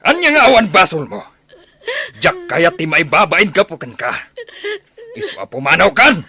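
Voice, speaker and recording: A man's voice: fairly high pitch, huge pitch swings, moderate pace, bright, forceful tone, full character voice. A man playing a harsh, shamed, domineering father in an audio drama, scolding and commanding in a northern Philippine accent. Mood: furious.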